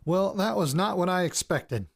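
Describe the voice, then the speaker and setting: A man's voice: sits high, lively intonation, creaky, dry recording, exaggerated voice. Jittery, sardonic young man, close-miked. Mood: indignant, dismissive. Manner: halting, sardonic, sweary, conversational. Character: jittery, bitter young man investigating his grandparents' past. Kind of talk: audio drama, naturalistic spoken recorded diary.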